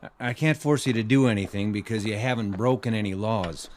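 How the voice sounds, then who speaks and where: Man playing a low-voiced small-town sheriff. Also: a little mellow, slight background noise, lightly acted. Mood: advising. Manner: measured, folksy authority. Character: steady, plain-spoken small-town sheriff, older man. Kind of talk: radio drama acting.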